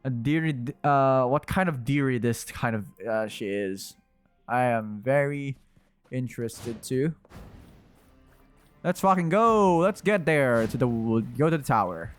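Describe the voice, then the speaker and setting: A man's voice: mid-range voice, expressive, unhurried, smooth, no echo. A man giving casual playthrough commentary as a game streamer, rambling and repeating himself as he thinks aloud. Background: game music.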